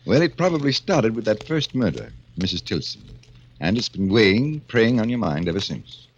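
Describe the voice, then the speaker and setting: Calm old-time radio shrink, low voice, moves around a lot in pitch, muffled old broadcast. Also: male voice. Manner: measured and soothing, slightly formal. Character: calm, clever male psychiatrist, middle-aged. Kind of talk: 1940s radio acting. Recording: dull old radio broadcast with faint hiss.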